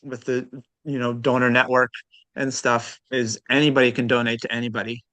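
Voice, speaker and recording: A man with a medium-pitched voice answers as a guest in a casual conversation. He is thoughtful and hesitant, feeling his way through each point and hedging. The recording is dry and close. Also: little pitch movement, brisk, sharp tone, no echo. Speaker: interview guest, transplant recipient.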